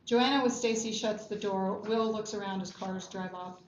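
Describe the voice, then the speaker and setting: A woman's voice: mid-range voice, fairly even intonation, moderate pace. A woman narrator at a table read, reading scripted stage directions. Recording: dull, echoey room with background noise.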